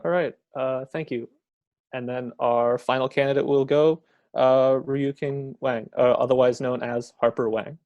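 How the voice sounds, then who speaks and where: A young man moderating a student debate in a relaxed, filler-heavy way. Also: medium pitch, unhurried, no room sound, muffled recording.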